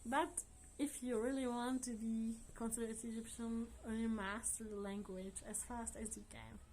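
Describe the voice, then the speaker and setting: A woman vlogger chatting casually to her viewers in earnest, non-native English, often laughing softly. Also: fairly high pitch, little pitch movement, normal speed, slight background noise, rough recording. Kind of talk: casual chat to camera.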